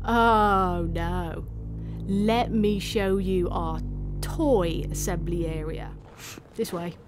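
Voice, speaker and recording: A woman's voice: lowish voice, extremely slow. A woman voicing a blunt but kindly male elf with a temper, rambling and chatty in an audio storybook. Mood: wry. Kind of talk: character acting in an audio storybook. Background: loud music.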